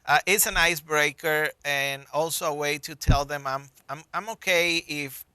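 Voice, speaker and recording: A man with a Colombian accent speaks earnestly as an interview guest, in a fairly high, bright and forceful voice. His tone is smooth and resonant, and he sometimes repeats a word as he searches for the next. The sound is close and dry. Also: steady tone, moderate pace, no room sound. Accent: Colombian Spanish. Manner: earnest, repeats words while finding them. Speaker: guest doctor. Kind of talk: conversational interview.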